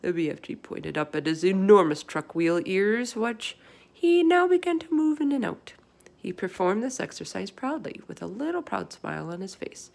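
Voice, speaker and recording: A woman's voice: medium pitch, sing-song, moderate pace, creaky, darkish tone. A woman reading a children's storybook aloud as scripted narration. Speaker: storybook reader.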